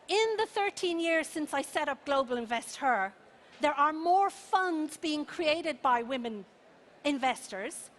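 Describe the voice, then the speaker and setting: An Irish-accented woman giving a motivational conference keynote, speaking emphatically with rhetorical questions and deliberate repetition, in a rallying manner. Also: sits high, a bit bright. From Ireland. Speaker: keynote speaker, founder and CEO. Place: conference venue, speaking to an audience. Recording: clearly noisy live conference stage.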